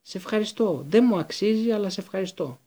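A man's voice: sits high, expressive, warm tone, pitched above the performer's own voice. A man voicing a cowardly middle-aged traitor from a storybook, whimpering and pleading breathlessly. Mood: grovelling gratitude. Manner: pleading, stammering repetition.

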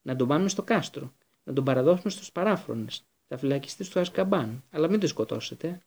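A man's voice: a little high, animated, darkish tone, husky. A man voicing a brave, principled teenage boy wizard in a storybook, firm and insistent. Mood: resolute.

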